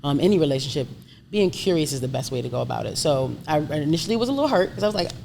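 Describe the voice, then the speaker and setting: A woman's voice: sits low, big pitch swings, very fast, creaky, warm tone. A woman in her thirties from Brooklyn, talking openly and animatedly as an interview guest, laughing often. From Brooklyn, New York. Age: 30s. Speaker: guest, chef and entrepreneur. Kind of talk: candid conversational interview. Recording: noisy background ambience.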